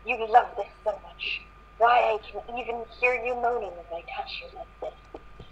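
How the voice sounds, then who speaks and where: A woman's voice: a little high, moderate pace, highs cut off, a little hiss or hum, natural voice. Woman reading as a taunting, domineering pony villainess. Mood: gloating. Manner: taunting, commanding.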